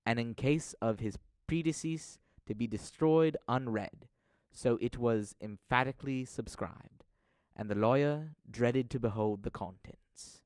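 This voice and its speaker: A man narrating an audio drama from a script, telling the story in a measured, dramatic manner. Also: mid-range voice, a little mellow, dry recording.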